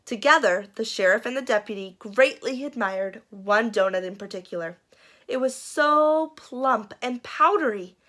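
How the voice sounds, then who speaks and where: A woman reading a picture book aloud for children in an expressive, dramatic and playful way, building suspense. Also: on the high side, unhurried. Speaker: children's storyteller.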